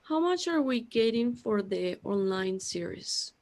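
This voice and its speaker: Audio drama role: woman as puzzled, forgetful immortal, unhurried delivery, high-set voice, peevish questions. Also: expressive, darkish tone. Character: bewildered, peevish immortal being with lost memory. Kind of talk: scripted audio drama acting.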